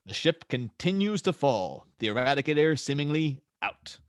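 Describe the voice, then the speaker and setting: A man's voice: on the high side, big pitch swings, moderate pace, clean tone, dry recording, studio quality. A man narrating scene descriptions and stage directions for a script table read.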